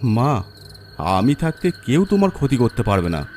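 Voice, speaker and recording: A man's voice: medium pitch, very animated, moderate pace, darkish tone, slight background noise, natural voice. A man voicing a kindly, brave older village watchman in a horror cartoon, earnest and fatherly. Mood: reassuring. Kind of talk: horror cartoon story acting.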